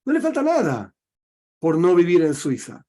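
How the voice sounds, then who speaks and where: Argentine rabbi teaching with rhetorical questions. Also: male voice, high voice, wildly swooping pitch, normal speed, mellow. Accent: Argentine Spanish. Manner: explains by asking himself questions and answering them. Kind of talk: religious teaching talk.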